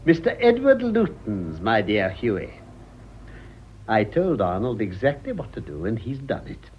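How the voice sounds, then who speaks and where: A man playing a smug, scheming English gentleman with a Received Pronunciation accent in a vintage radio drama, pompous and theatrical. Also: mid-range voice, wildly swooping pitch, unhurried, slight background noise. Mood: gloating. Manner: pompous, self-congratulatory, theatrical. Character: smug, scheming upper-class English gentleman, pleased with himself. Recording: muffled old radio recording.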